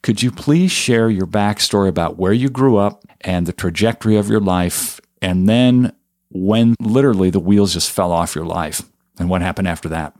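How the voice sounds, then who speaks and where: A male podcast host who reads a scripted intro and asks polite, measured questions. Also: deep voice, darkish tone.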